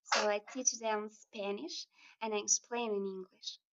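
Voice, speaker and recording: A woman's voice: mid-range voice, fairly even intonation, a little slow. Earnest woman on distant call line. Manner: earnest, talks at length with restarts. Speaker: student and children's language tutor. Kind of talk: casual video-call chat. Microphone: video call. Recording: distant muffled video-call audio.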